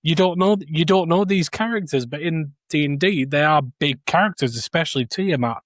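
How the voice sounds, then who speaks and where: A man with a slightly higher-pitched voice talks in a casual, opinionated podcast style. He is blunt and happy to swear, and the sound is close and dry. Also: normal speed, no room sound. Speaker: podcast panellist.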